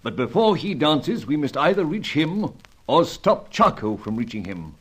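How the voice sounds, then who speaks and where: Older diplomat type, courteous and fretful, bit raspy, very animated pitch, old radio adventure show. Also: male voice, normal speed. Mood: solemn, courteous. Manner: formal, hesitant, old-fashioned gentlemanly speech. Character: courteous, anxious senior British diplomat, male, fretful spy chief. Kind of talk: vintage radio adventure serial acting.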